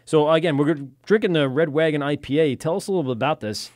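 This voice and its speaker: A man's voice: a little high, quick pace, darkish tone, dry recording. A man hosting a casual podcast chat in an informal, joking, rambling way, close on a studio microphone. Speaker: podcast host. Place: small radio studio. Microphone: close studio microphone on boom arm.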